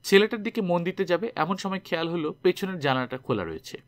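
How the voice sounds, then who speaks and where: A man narrating a scripted story dramatically, with expressive delivery as an audiobook reader. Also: high-pitched, fast.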